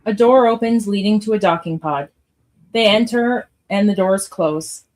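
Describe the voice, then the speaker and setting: A woman's voice: mid-range voice, moderate pace, a little mellow, a little hiss or hum. A woman reading stage directions as the narrator of a live radio theatre script read.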